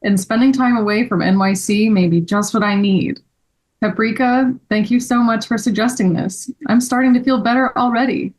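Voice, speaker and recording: A woman's voice: fairly high pitch, normal speed, a little mellow, lightly acted. A woman playing an earnest, sensitive young boy in a children's story table read, speaking in a heartfelt way. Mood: grateful, relieved.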